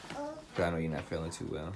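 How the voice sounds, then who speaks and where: A man's voice: sits low, wildly swooping pitch, a little fast, background noise. A man talking casually from off-mic, wrapping up a vlog.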